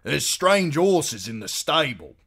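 A man's voice: mid-range voice, big pitch swings, unhurried, creaky, fairly bright tone, voice pushed up, exaggerated voice. A man voicing a harried, defensive innkeeper husband in a storybook, aggrieved and folksy in his speech. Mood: annoyed.

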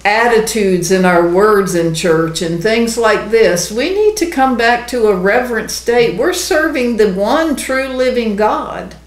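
A woman's voice: fairly high pitch, lively intonation, measured pace, few pauses. A woman, teaching the Bible in an earnest, emphatic, conversational way. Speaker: ministry co-host, Bible teacher. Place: small indoor room. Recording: roomy, echoey indoor sound.

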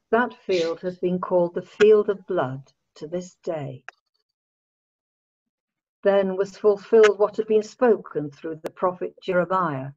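A woman narrating a Bible story in a measured, formal read-aloud manner. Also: lowish voice, a little mellow. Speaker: narrator of a church scripture reading. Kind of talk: dramatic Bible reading, scripted narration. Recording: dull lo-fi home recording.

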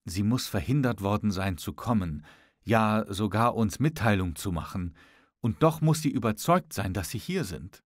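A witty young lady, voiced by a male narrator in his own lowish register answers with polite scepticism. The delivery is formal and teasing, in a dry audiobook recording. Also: expressive, dry recording. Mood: puzzled. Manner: formal, teasing, quick to retort.

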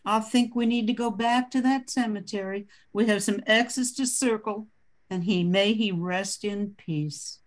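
A woman's voice: medium pitch, unhurried, close to an everyday voice. An older woman playing a forgiving, resolute widowed mother in an amateur radio play. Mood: tender, resolute.

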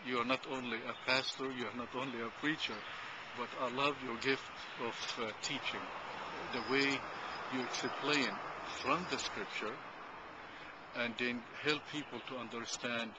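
An older man speaks in an earnest, measured way, filling his pauses with uh. He is filmed outdoors on a handheld camcorder held close. Loud outdoor ambience and passing vehicles sit behind his voice. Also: mid-range voice, fairly even intonation. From Galilee, Israel. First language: Arabic. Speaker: Presbyterian minister. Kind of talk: interview answers. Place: outdoors by a park playground. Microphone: handheld camcorder close to the face. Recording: loud background noise outdoors.

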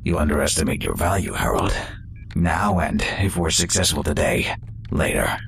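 A man's voice: rumbling low, very animated, moderate pace, gravelly, fairly bright tone, a little hiss or hum, full character voice. A man voicing a polite robot scientist with formal, precise speech in a comic dub. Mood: calm, formal.

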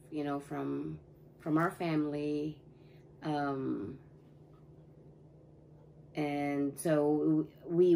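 A woman's voice: deep voice, dark tone, slight background noise, rough recording. A woman in her thirties from the Philippines, chatting casually on a couch in her living room about the family channel, with many fillers and restarts. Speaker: family vlogger.

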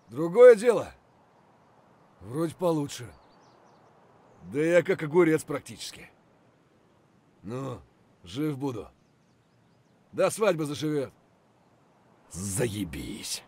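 An actor playing a gruff, wary, foul-mouthed male mercenary soldier in terse video game voice lines. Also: mid-range voice, darkish tone, full character voice. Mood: relieved.

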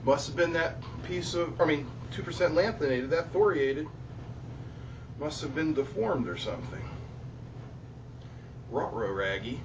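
A man's voice: on the high side, animated, unhurried, pauses often, muffled recording. A man in his forties hosting a welding demonstration in an indoor workshop, talking casually and joking at his own expense while he works. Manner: joking, self-deprecating, talks while working. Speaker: welding video host. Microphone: lapel mic clipped to shirt. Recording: distant with noticeable shop noise.

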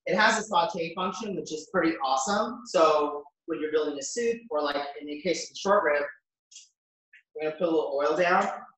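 A man's voice: fairly high pitch, normal speed, fairly bright tone. An American man in his fifties presents a cooking demonstration in an informal, chatty way. He thinks aloud as he works. His voice is picked up from a distance in a very reverberant kitchen. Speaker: chef hosting a cooking demonstration. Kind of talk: casual live cooking demonstration. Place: home kitchen, indoors. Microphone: camera a few metres away. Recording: very echoey kitchen, distant microphone.

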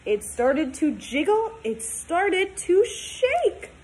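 A woman in her twenties reading a storybook aloud to young children, talking in simple, sing-song, animated phrases. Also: very high voice, drawn-out words. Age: 20s. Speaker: teacher reading aloud to pupils.

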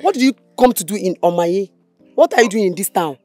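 Brief: actress as a scornful Nigerian young woman, mid-pitched, cutting and dismissive. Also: animated, a little fast, mellow, faint music behind, natural voice. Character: sharp-tongued young woman brushing off a suitor. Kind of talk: film acting.

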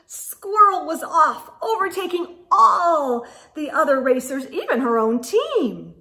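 A middle-aged woman reading a picture book aloud to young children, expressively, with dramatic pauses and exclaimed asides. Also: high voice, big pitch swings, sharp tone. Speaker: children's storytime host. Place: small indoor room with bookshelves.

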